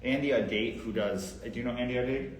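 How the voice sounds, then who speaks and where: A man's voice: mid-range voice, reverberant, poor audio. A man chatting casually as a guest, eager and talkative, jumping in with his own stories. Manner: fast, eager, chatty, interjecting. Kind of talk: casual chat.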